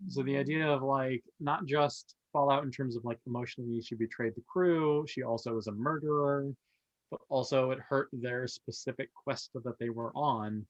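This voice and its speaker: A man's voice: medium pitch, steady tone, normal speed, no room sound, dull audio. A man co-hosting a casual review discussion, talking in an opinionated, conversational way. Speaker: podcast host.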